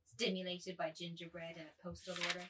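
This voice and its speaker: A woman's voice: lowish voice, level tone, a little fast, fairly bright tone, a little hiss or hum, lightly acted. A woman playing a disgusted voice of past sins, reciting an accusation in a dramatic novel reading.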